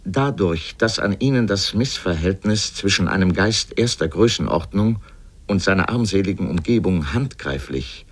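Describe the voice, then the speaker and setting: Deep voiced guy reading a literary radio essay, kinda sardonic and emphatic. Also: animated, normal speed, husky. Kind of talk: scripted literary radio narration.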